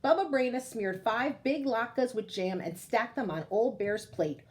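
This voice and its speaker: A woman's voice: fairly high pitch, unhurried. A woman storyteller reading a picture book aloud to children, expressively and speaking straight to her young listeners. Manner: animated, addresses young listeners directly.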